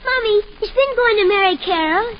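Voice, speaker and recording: Small curious boy, very high. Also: lively intonation, moderate pace. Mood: curious child. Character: young boy, curious and chatty. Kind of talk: 1940s radio acting. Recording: dull, noisy 1940s radio broadcast.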